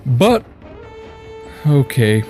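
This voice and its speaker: A man's voice: medium pitch, very animated, very slow, a little mellow, soft background music. A man playing an anxious, earnest pony guard who pleads for reason in a cartoon fan drama. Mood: hurt, reluctant. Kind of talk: fan audio drama acting.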